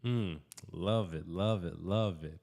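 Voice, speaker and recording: A man hosting a podcast interview in a low voice and at a slow, easy pace. He keeps an upbeat tone and tends to repeat his affirmations as he guides the guest. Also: creaky, darkish tone. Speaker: podcast host.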